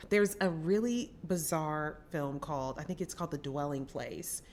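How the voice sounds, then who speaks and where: Woman, lower-pitched voice, film podcast co-host, casual discussion, emphatic, opinionated. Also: moderate pace, darkish tone.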